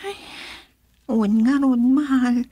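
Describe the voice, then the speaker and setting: A young, strong-willed woman with a high voice and an Ilocano Filipino accent, recorded close and dry. She is a radio drama character who wants money from marriage and will not be talked out of it. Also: steady tone, slow, dark tone, slightly rough, dry recording. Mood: coy. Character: headstrong young woman set on marrying for money.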